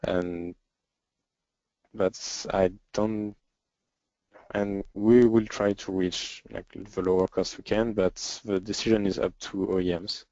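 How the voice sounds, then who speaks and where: A man with a French accent answering questions as a developer in an informal webinar, speaking hesitantly with frequent pauses. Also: deep voice, flat delivery, dark tone, no echo. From France. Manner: hesitant, halting, with frequent pauses and throat clearing. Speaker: software developer and webinar presenter. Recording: dull lo-fi webinar audio.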